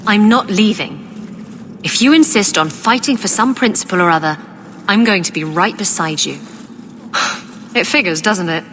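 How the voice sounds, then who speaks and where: A woman's voice: mid-range voice, expressive, a little slow, long pauses, fairly bright tone. A woman playing a loyal, guarded young lover in a video game, speaking with intimate, anxious earnestness. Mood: tender, anxious. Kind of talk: dramatic video game voice acting. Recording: long reverb, faint background noise.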